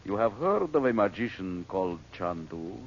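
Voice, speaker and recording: A ruthless low-voiced villain. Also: male voice, sing-song, normal speed. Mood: menacing. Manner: commanding, menacing. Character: cold, ruthless male villain giving orders. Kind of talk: 1930s radio drama acting. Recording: lo-fi old radio transcription with hiss.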